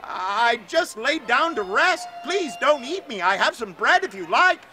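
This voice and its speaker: A man's voice: very high-pitched, big pitch swings, bright, forceful tone, faint music behind, pitched above the performer's own voice, exaggerated voice, low-quality recording. Man doing a frightened, pleading young villager. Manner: pleading, stammering, rushed.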